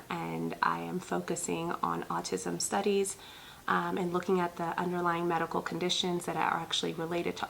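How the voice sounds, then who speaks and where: Woman from ethiopia talking to camera, gravelly kinda flat voice, lots of ums, faint hiss behind. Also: on the low side, low-quality recording. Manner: earnest, many ums, speaks directly to viewers. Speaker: pediatric nurse practitioner and channel host. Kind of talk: personal talk to camera.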